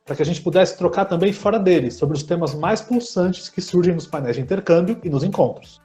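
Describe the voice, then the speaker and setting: A man's voice: high-pitched, fairly quick, warm tone. A man in his thirties with a Brazilian accent, reading scripted narration as a podcast co-host.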